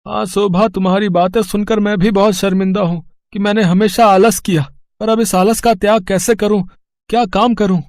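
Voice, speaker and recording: Man as a high-pitched, whiny lazy young son. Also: fairly even intonation, normal speed, darkish tone, no room sound, studio quality. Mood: ashamed.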